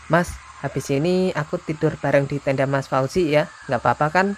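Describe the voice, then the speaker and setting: A man voicing a withdrawn young Indonesian hiker who speaks briefly and casually in an animated horror story. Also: on the high side, brisk, slight background noise, lightly acted. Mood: subdued. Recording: faint music behind the dialogue.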